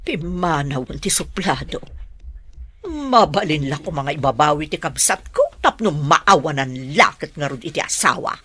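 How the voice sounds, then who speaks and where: A nosy pushy in-law, lowish animated voice, filipino accent, radio drama. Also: female voice, unhurried, background noise. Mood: suspicious, needling. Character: pushy, suspicious, meddling sister-in-law.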